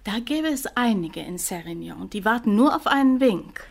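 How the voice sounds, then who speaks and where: An actress playing a brisk, bossy grown daughter of the household in a radio play. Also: female voice, on the high side, animated, vocal fry, a little mellow. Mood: dry, reproving. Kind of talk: German radio play acting.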